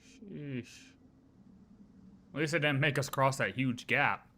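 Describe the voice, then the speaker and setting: A woman's voice: rumbling low, slow pace, a bit bright, slight background noise. A woman game streamer giving casual live reaction commentary, informal, with wry asides to the characters.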